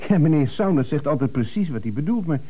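An actor playing an earnest, stubborn office employee with a hesitant, pedantic way of talking, in radio comedy. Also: male voice, on the high side, expressive, fast talker, narrow, tinny audio. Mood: puzzled, suspicious. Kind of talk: 1970s Dutch radio comedy acting.

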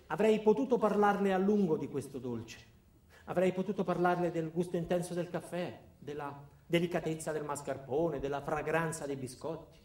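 A man acting a dark-comic monologue as a coldly cruel company man, speaking in a deliberate deadpan. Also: a little high. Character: ordinary company man turned coldly cruel, addressing his boss. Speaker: actor performing a solo monologue. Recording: roomy, echoing sound.

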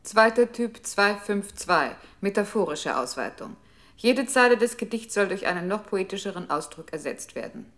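A woman's voice: medium pitch, lively intonation, normal speed, a bit bright. An actress playing a computer's control voice in a radio play, impersonal, clipped and precise as she gives orders. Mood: impersonal, commanding. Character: female computer control unit, cold and commanding. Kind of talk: avant-garde radio play, clipped announcing.

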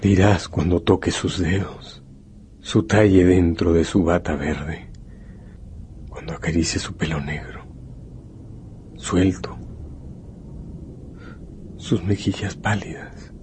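An actor playing a grave, intimate male narrator who addresses the hero directly in a dramatic, deliberate radio-novel style. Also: deep voice, steady tone, darkish tone, a little hiss or hum. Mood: intimate, suspenseful.

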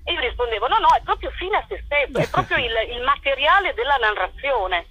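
Lady chatting in an interview, very bright highish voice, dry sound, tells it like a story. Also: expressive, a little fast, breathy edge, no room sound. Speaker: guest, playwright and performer. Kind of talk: casual interview answers, storytelling.

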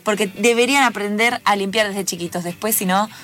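A woman's voice: mid-range voice, fairly quick, a bit bright, faint music behind, close to an everyday voice. A woman playing a curious, thoughtful young woman in an improvised radio drama, inquisitive and reflective in her delivery. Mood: candid.

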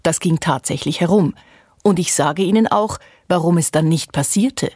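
A scornful woman reciting poets' jibes, lowish pitch, radio feature, no room echo. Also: moderate pace, darkish tone, breathy edge, clean studio sound. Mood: pointed.

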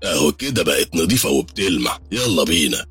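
A man's voice: rumbling low, big pitch swings, fast talker, fairly bright tone, husky, no echo, soft background music, exaggerated voice. A man voicing a smug, menacing thug leader who mocks in Egyptian Arabic. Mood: mocking menace. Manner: mocking, menacing.

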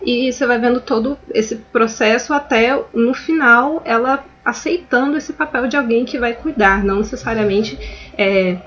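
A woman's voice: on the high side, normal speed, fairly bright tone, a little hiss or hum. A woman reflecting on film in a casual podcast panel conversation. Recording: slightly dull, lo-fi audio.

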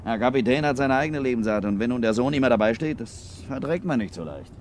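An actor playing a cynical, scheming middle-aged ship's officer in a 1950s radio drama, sardonic and slyly insinuating. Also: male voice, mid-range voice, animated, fast, lightly acted. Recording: hissy 1950s radio recording.

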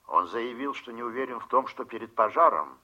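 An actor playing a courteous, troubled judge speaking hesitantly on a phone call in a radio play. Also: male voice, mid-range voice, expressive, fairly quick, close to an everyday voice. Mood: grave. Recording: dull, lo-fi radio drama recording.

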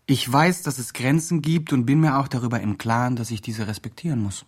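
A man's voice: medium pitch, brisk, slightly rough, lightly acted. A man playing an earnest, emphatic promoter who presents a homeless man's online fundraising campaign in a radio drama. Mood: earnest, self-justifying. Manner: earnest, emphatic, self-justifying. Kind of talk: scripted radio drama, mock video-blog narration.

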